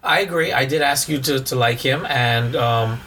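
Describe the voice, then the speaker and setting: A hoarse man co-hosting a podcast in casual chat, rambling and restarting his sentences. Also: mid-range voice, moderate pace, pushed and bright, a little hiss or hum, poor audio. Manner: rambling, repeats words and restarts often. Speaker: podcast co-host.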